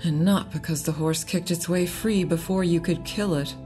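An actress playing a worried, grimly frank mother in a horror audio drama, somber and measured. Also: fairly low pitch, fairly even intonation, mellow, faint music behind, natural voice.

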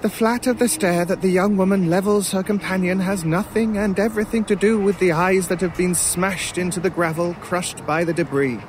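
An actor playing an urbane, sardonic crow in an audio drama, wordy and mockingly polite in a pompous way. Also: male voice, high-pitched, steady tone, moderate pace, few pauses, close to an everyday voice. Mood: wry, amused. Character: urbane, sardonic male talking crow, gleefully macabre scavenger. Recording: clear outdoor noise with cawing crows. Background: outdoor ambience, crows, vehicles.

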